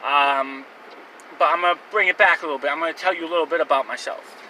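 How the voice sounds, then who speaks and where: A man's voice: a little high, fairly even intonation, fast, pushed and bright, recorded from a distance, a little hiss or hum, poor audio. A man in his twenties telling his own life story to camera in a rambling, candid way, with fillers and restarts. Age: 20s. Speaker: vlogger.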